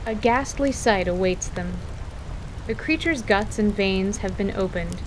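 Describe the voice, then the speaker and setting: A woman's voice: medium pitch, unhurried, a little hiss or hum, low-quality recording. A woman reading scripted, descriptive narration for a fantasy audio drama as its narrator. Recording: steady rain and stream ambience behind.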